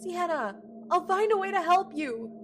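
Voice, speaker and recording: Actress doing devoted magical bestie, really high voice, swoopy and emotional, pleading, audio drama. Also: female voice, expressive, normal speed, noisy background. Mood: distraught. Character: impassioned, devoted young female magical best friend. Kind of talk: scripted fantasy comedy audio drama.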